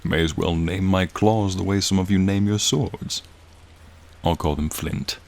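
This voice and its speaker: A man's voice: measured pace, slight background noise. A man voicing a friendly, charming frost dragon in a soothing, courtly, admiring manner, in an ASMR roleplay with rain behind him. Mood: warm, welcoming. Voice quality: low, gravelly, soothing. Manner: courtly, leisurely, warmly attentive. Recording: steady rain sounds behind the voice. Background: rain falling.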